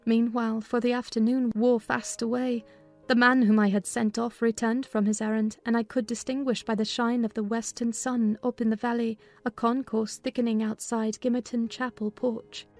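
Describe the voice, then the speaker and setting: A woman reads as the narrator of a literary audiobook. Her voice is fairly high and stays even in pitch, measured in its storytelling, with faint music underneath.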